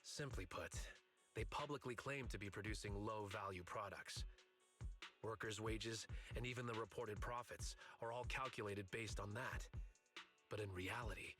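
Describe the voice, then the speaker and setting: An actor playing a level-headed, matter-of-fact sidekick in a video game, dry in manner. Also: male voice, on the low side, moderate pace, fairly bright tone, soft background music, lightly acted. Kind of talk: English video game voice acting.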